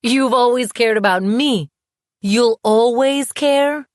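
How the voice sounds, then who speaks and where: A woman's voice: fairly low pitch, animated, normal speed, dry recording, studio quality, lightly acted. A woman voicing a wounded, indignant young woman in an audiobook, with an emphatic, emotionally charged delivery. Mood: angry, incredulous.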